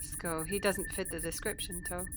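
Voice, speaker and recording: A woman's voice: lowish voice, steady tone, normal speed, close to an everyday voice. A woman playing a loyal alien security officer in an audio drama, framing her sentences with clipped, odd tic words. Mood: dutiful, concerned. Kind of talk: science fiction audio drama acting. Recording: noticeable background noise.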